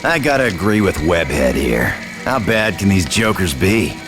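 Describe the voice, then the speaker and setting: An actor playing a tough mutant brawler hero in a video game, gruff, terse and slangy. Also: male voice, sits low, big pitch swings, normal speed, over music. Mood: cocky.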